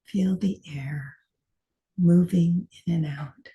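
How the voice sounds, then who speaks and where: A woman meditation teacher leading a guided meditation in soft, gentle short phrases with long pauses, sometimes trailing into a breathy near-whisper. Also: lowish voice, highs cut off.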